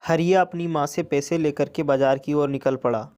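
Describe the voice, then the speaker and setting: A man's voice: on the high side, fairly even intonation, moderate pace, a little mellow. A man narrating a scripted moral story for children as a storyteller.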